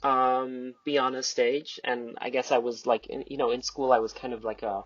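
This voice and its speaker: A young man chatting casually as a podcast guest, halting and self-deprecating in the way he talks. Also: medium pitch, level tone, breathy edge, no echo, muffled recording. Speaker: podcast guest, amateur theater group member.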